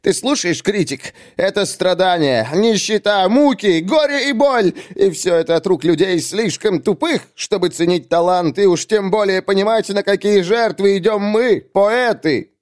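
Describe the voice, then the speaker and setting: A man's voice: sits high, animated, moderate pace, few pauses, darkish tone, voice pushed up. A man voicing a tortured, self-pitying poet in an audiobook, wailing and complaining with bitter, melodramatic indignation. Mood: anguished, self-pitying. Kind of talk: audiobook character acting.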